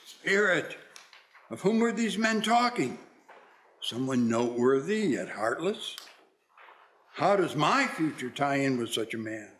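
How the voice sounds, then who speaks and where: A man's voice: fairly high pitch, sing-song, measured pace, pauses often, fairly bright tone, a little hiss or hum. A man playing an anxious, repentant old miser, pleading theatrically in a radio play. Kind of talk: stage radio play acting.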